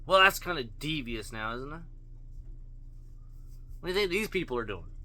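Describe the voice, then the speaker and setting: Male vlogger, blunt profane ranter; slightly raised pitch, piercing tense timbre. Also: lively intonation, normal speed, pushed and bright. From Canada. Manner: blunt, profane, rambling rhetorical questions. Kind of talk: opinion rant to camera.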